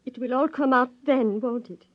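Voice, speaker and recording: An actress playing a distraught, bitter wife in a 1950s radio drama, with a halting, intense, dramatic delivery. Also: female voice, sits high, lightly acted. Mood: anguished, guarded. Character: distraught, bitter married woman, grieving her murdered father. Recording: muffled, echoing 1950s radio recording.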